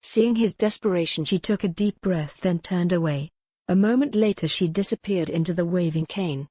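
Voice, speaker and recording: A woman's voice: on the low side, moderate pace, dark tone, no echo. A woman reading historical fiction as a scripted, dramatic audiobook narration.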